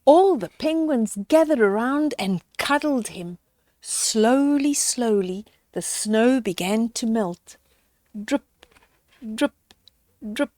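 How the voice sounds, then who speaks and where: A woman's voice: fairly high pitch, animated, slow pace, mellow, no echo. An older woman reads a children's story aloud, adding simple chatty asides for young listeners. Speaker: storyteller reading children's picture books aloud.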